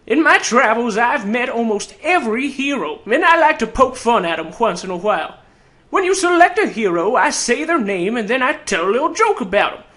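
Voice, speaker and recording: A man voicing a tiny, old, sarcastic treasure-hunting fantasy game creature with a weird character voice, cocky, teasing and folksy in his pitch as an announcer. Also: fairly bright tone, full character voice. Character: tiny old sarcastic male keen-folk treasure hunter.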